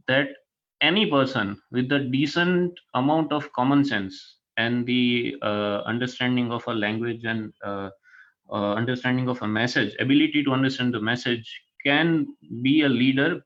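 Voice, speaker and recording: A man from Gujarat with a Gujarati Indian accent and Gujarati as his first language, giving an informal, halting lecture to students as a guest speaker, often checking in with a short tag question. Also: medium pitch. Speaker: guest speaker, corporate HR manager. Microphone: online video call. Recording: dull lo-fi online call audio.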